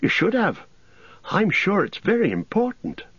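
A man's voice: high voice, huge pitch swings, measured pace, fairly bright tone, slightly rough, dry recording, muffled recording, pitched above the performer's own voice, exaggerated voice. A man voicing a cheerful, talkative young male hobbit in a storybook reading.

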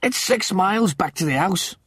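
A man voicing a gruff, weary castaway of about sixty in a comic science fiction audiobook, terse and grumbling. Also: high-pitched, expressive, normal speed, fairly bright tone, no room sound, studio quality, voice pushed up, full character voice. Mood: matter-of-fact. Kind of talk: audiobook character acting.

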